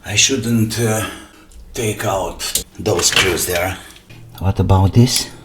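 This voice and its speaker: A man, an English speaker, thinking aloud in a casual, halting way as he hosts a hands-on repair vlog, muttering asides to himself. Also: sits low, loud music behind the voice. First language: not English. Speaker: host of an electronics repair channel. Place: indoor workbench. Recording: noisy workbench with clicks and clatter.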